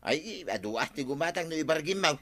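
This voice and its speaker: An actor playing a reproachful villager in an Ilocano radio comedy, needling the treasurer in an Ilocano accent. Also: male voice, a little high, expressive, a little fast, bright, forceful tone. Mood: worried.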